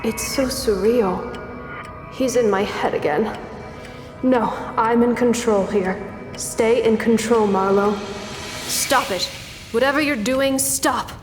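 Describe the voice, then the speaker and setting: A guarded interrogator, heavy reverb. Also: female voice, a little high, takes it slowly, pauses often. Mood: disoriented, resisting. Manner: terse, sardonic, intense. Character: tough, guarded female interrogator judging a convict's appeal. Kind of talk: audio drama acting. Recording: long reverb, music underneath.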